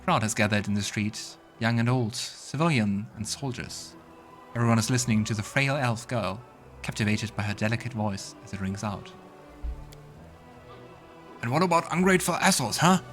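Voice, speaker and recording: A man's voice: on the low side, moderate pace. A man giving casual let's play commentary and narrating scenes from a fantasy game. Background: game music.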